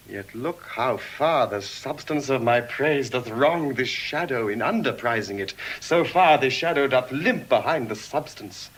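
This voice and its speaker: An earnest young nobleman speaks Shakespearean verse in a polished RP English accent. His delivery is very slow and deliberate, with sweeping rises and falls in pitch. The vintage audio drama recording has a light hiss. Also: a bit bright, poor audio. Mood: rapt wonder. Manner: measured, theatrical, deliberate declamation. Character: young Venetian lord, earnest romantic suitor. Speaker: stage actor. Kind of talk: classical Shakespearean verse acting.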